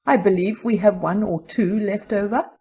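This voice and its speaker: A woman playing a brisk, ingratiating, slightly lofty shop saleswoman in an audiobook drama, polite and cheerful. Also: mid-range voice, fairly even intonation, dark tone, husky. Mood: patient, helpful.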